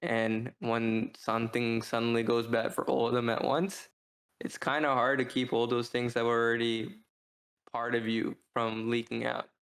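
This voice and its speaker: A man co-hosting a podcast, talking through a book review in a casual, rambling, halting way as he thinks out loud. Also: medium pitch, flat delivery, poor audio. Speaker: podcast co-host.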